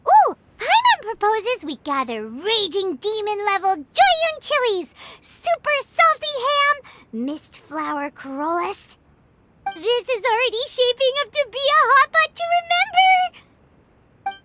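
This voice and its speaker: A woman voicing a tiny, excitable, cheeky fairy girl companion in a video game, with a squeaky childlike voice. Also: slow, background noise, heavily acted. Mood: excited. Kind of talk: video game character acting.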